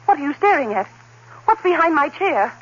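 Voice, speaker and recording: An actress playing a devoted, concerned fiancée in an old-time radio drama, theatrical and solicitous. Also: female voice, high-pitched, sing-song, normal speed. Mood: alarmed. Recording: dull old radio recording with hiss.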